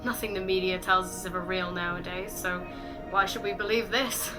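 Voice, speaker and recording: A woman in her late teens performing spoken-word poetry, with dramatic, deliberate phrasing and repetition. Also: lowish voice, flat delivery, low-quality recording. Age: late teens, about eighteen. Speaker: slam poet. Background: music.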